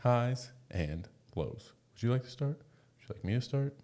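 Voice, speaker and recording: A man's voice: mid-range voice, expressive, a little slow, mellow. A man co-hosting a podcast in informal, encouraging conversation. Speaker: podcast co-host. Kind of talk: casual chat.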